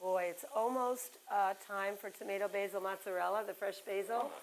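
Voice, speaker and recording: A woman's voice: mid-range voice, fairly even intonation, moderate pace, a little hiss or hum, rough recording. A woman hosting a casual cooking show, chatty, asking many questions and telling stories. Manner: chatty, asks many questions, shares anecdotes. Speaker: talk show host.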